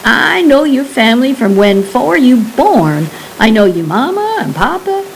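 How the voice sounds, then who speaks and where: A woman's voice: high-pitched, big pitch swings, measured pace, gravelly, slight background noise, pitched above the performer's own voice, full character voice, rough recording. A woman voicing an old, superstitious Cajun swamp recluse man with a Cajun accent, speaking in broken dialect. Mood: solemn warning. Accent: Cajun English, put on. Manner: broken dialect, pleading warnings.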